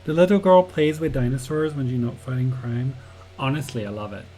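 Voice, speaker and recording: A man's voice: mid-range voice, brisk, mellow, faint music behind, poor audio. A man hosting a casual game jam livestream, chatting in a friendly way with many ums and uhs and answering viewers' questions from chat. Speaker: game jam stream host.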